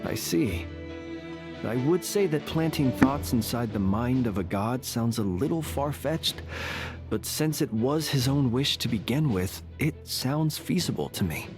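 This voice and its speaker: An actor playing a thoughtful man who weighs an idea with calm reasoning. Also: medium pitch, a little slow. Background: game music.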